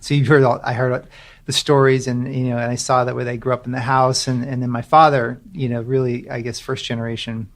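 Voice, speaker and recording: A man's voice: mid-range voice, little pitch movement, a little fast. A man hosting a podcast interview, chatty and casual, often restarting his phrases as he asks questions. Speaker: podcast host. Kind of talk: conversational interview.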